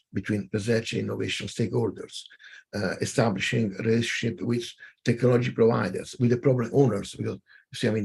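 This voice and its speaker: Gravelly, hesitant male webinar moderator. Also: medium pitch, darkish tone. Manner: hesitant, many fillers and restarts. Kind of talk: webinar presentation.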